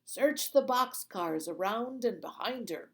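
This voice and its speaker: A woman's voice: fairly high pitch, expressive, measured pace, voice pushed up. A woman doing a curt, commanding soldier. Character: stern thin young male guard, suspicious.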